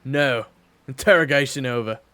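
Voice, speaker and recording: A man playing a gruff, hostile, distrustful working man in an audio roleplay, with a curt and blunt delivery. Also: medium pitch, normal speed, fairly bright tone, no room sound, pitched above the performer's own voice, lightly acted. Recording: background music.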